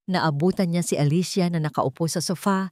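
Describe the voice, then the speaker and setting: A woman's voice: on the low side, fairly even intonation, a little fast, darkish tone, no echo, clean studio sound. A woman narrator reading a scripted romance story in a dramatic storytelling style.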